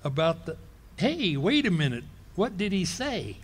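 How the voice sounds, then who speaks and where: An actor playing a blustering, sheepish middle-aged husband in a live 1940s-style radio comedy, sputtering and laughing. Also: male voice, sits high, very animated, a little slow, slightly rough, full character voice. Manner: sputtering, bursts of laughter and exclamations. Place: ballroom stage before an audience.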